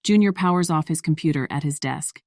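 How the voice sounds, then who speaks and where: Female narrator, deep register, scripted fiction read, dry close recording. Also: a little fast, a little mellow, dry recording.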